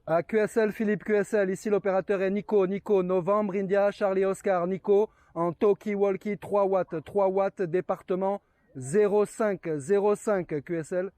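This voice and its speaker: A man's voice: high-pitched, steady tone, moderate pace, mellow. A man operating a radio, exchanging call signs and code words and repeating key phrases to confirm them.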